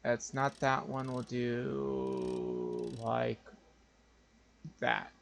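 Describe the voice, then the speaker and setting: A streamer thinking aloud in a casual, tentative way while playing a game. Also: male voice, mid-range voice, big pitch swings, gravelly, faint music behind. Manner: muttering to himself, unhurried and tentative. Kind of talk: casual gameplay commentary.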